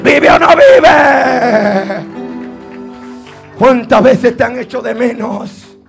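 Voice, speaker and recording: A man delivers a fervent Pentecostal sermon in a very high, strained voice with a gravelly edge. He exhorts insistently, repeating his phrases. Faint music plays behind him. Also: sing-song, drawn-out words. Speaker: preacher. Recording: preaching over faint background music.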